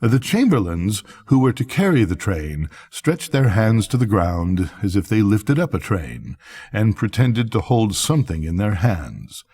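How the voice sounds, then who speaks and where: An older man reads a bedtime story in a low, husky voice. His narration is animated, with pitch that rises and falls widely, in the manner of a grandfather telling a tale. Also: a little slow.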